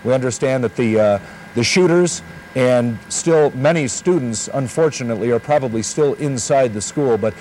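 A man's voice: medium pitch, normal speed, darkish tone, poor audio. An American man reporting live news from the field. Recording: outdoor archival broadcast, faint street noise.

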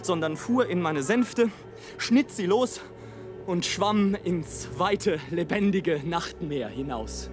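A man's voice: high-pitched, lively intonation, takes it slowly, music in the background. An actor playing a sardonic airship traveler in a literary radio play, declaiming his journey with theatrical irony. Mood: haunted, brooding.

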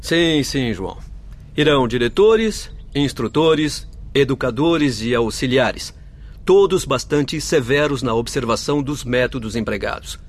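An authoritative, kindly male doctor of the spirit world. His Brazilian voice sits at a middle pitch, formal and explanatory, in radio drama style. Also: lively intonation, unhurried. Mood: explaining.

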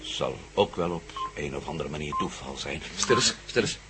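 A man's voice: very deep voice, lively intonation, moderate pace, very noisy. A man acting in a Dutch radio drama as an embattled, urgent and baffled adventurer hero. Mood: bitter, resigned.

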